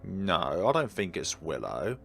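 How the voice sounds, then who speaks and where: Male let's player, fairly low voice, casual commentary, reads narration aloud, wry, faint game music. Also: big pitch swings, measured pace, gravelly, a bit bright. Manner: wry, talking back to the characters.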